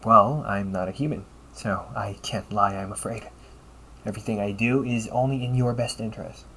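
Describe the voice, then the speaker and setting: Low-voiced, polite A.I. companion played by a man. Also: a bit bright, slight background noise. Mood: earnest, reassuring. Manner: measured, formal phrasing with questioning tags. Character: polite, caring male A.I. companion, gently logical and encouraging. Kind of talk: audio roleplay addressed to the listener.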